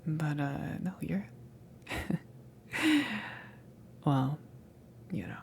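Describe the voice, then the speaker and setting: Casting: friendly alien salvage crewmember played by a woman, low-pitched breathy whisper, unhurried and shyly reassuring, ASMR roleplay with noisy ambience. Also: very animated, exaggerated voice. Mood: shy, curious. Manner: hesitant, rambling, giggly.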